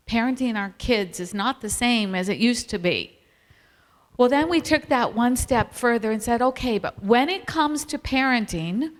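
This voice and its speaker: A middle-aged or older American woman giving a religious family seminar talk to a live audience. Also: mid-range voice. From Appalachian Virginia, United States. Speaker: seminar speaker. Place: large hall at a camp meeting. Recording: reverberant hall sound system.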